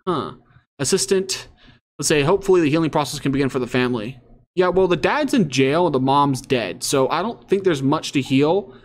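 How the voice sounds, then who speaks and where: A man's voice: on the high side, lively intonation, fairly quick. A man hosting online commentary, reading news aloud and reacting casually.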